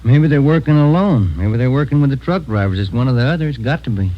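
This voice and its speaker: A man acting as an earnest police sergeant in an old radio drama. His voice sits at a middling pitch, plain and businesslike, on a muffled vintage recording. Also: animated, fast, close to an everyday voice. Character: earnest, obliging male police sergeant, the partner.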